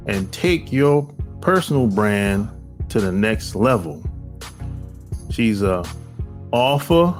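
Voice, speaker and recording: Slow, deliberate male podcast host. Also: medium pitch, a little mellow. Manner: slow, deliberate announcer-style delivery with emphatic phrasing. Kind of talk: interview podcast hosting with sponsor reads.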